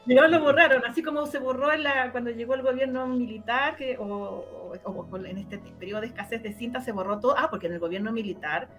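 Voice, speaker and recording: A woman's voice: on the high side, brisk, hardly any pauses, a bit bright, muffled recording, soft background music. A Chilean woman answering interview questions conversationally, emphatic and repeating phrases for stress. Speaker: interviewed radio drama creator.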